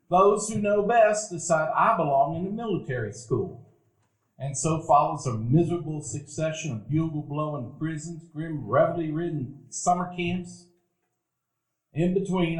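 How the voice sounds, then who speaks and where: A man playing a nostalgic grown man recalling boyhood, narrating a radio play in a measured, literary way. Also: a little high. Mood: wistful. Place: event hall before live dinner-theater audience. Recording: echoey live theater stage.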